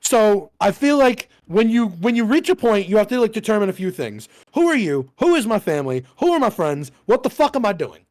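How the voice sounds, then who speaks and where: A man's voice: high-pitched, big pitch swings, a little fast, hardly any pauses, darkish tone. A man in his thirties co-hosting a podcast in casual chat, rambling and profane, often laughing at himself. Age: 30s. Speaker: podcast co-host.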